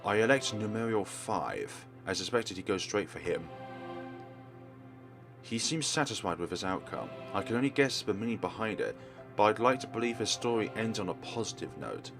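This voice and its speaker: Male let's play narrator, low pitch, expressive range, dramatic visual novel reading, game music behind. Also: moderate pace.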